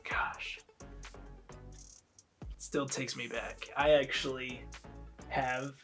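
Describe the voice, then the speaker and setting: A man's voice: mid-range voice, flat delivery, very slow, fairly bright tone, faint music behind. A man in his twenties, congested from a head cold, chatting casually to camera in a rambling way as a reaction video host.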